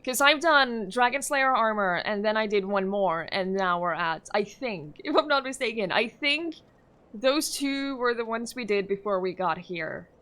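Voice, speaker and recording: A woman's voice: mid-range voice, lively intonation, moderate pace, a bit bright. A young woman from Norway chatting casually with her chat while streaming a game, answering questions with frequent fillers and small laughs.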